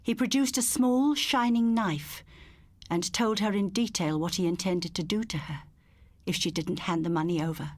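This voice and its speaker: A woman's voice: lowish voice, normal speed, no echo. A woman reading a scripted ghost story as an audiobook narrator, in a dramatic, suspenseful storytelling manner.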